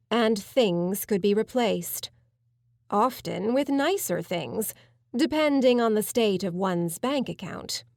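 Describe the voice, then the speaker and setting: Female audiobook narrator for fiction: mid-pitched, measured, polished literary read, close and dry studio sound. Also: a little mellow, dry recording.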